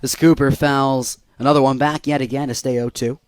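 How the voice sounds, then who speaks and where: A man's voice: medium pitch, level tone, fairly quick, smooth, dry recording. Young American man calling baseball play-by-play, dry sound. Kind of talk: live radio sports play-by-play.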